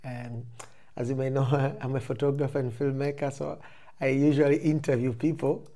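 A man's voice: medium pitch, little pitch movement, moderate pace, mellow. A man with a Tanzanian accent answering interview questions conversationally, reflective and often rephrasing as he explains. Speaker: interview guest, photographer and filmmaker.

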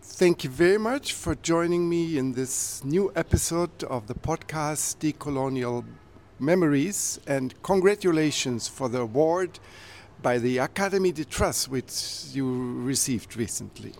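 A man with a somewhat high voice and noticeable creak hosts a podcast interview. He phrases his questions formally and politely, with a courteous manner toward his guest. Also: lively intonation, measured pace, a little mellow. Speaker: podcast host.

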